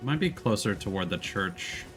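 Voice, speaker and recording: A man giving casual live commentary as he plays a game, musing aloud in an unhurried way. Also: low-pitched, lively intonation. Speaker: game streamer. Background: game music.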